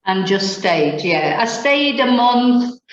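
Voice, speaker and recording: A woman's voice: a little high, expressive, slow, reverberant, highs cut off. A woman in her forties from Manchester with a Mancunian accent, a language teacher telling her story as a guest in a casual interview, rambling with fillers and small chuckles. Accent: Mancunian English. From England.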